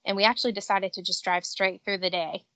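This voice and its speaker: A woman's voice: medium pitch, steady tone, fast, sharp tone, no room sound. A woman in her thirties from Connecticut, chatting upbeat and talkative as an interview guest on an outdoor porch. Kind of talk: casual interview chat.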